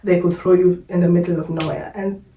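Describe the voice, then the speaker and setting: A woman's voice: lowish voice, flat delivery, fairly quick, warm tone, reverberant, recorded from a distance, a little hiss or hum, low-quality recording. A young Kenyan woman vlogger, unwell and a little short of breath, telling her story in a candid, chatty way. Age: young adult. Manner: chatty, candid, uses fillers like "like" and "yo". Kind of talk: casual personal storytelling to camera.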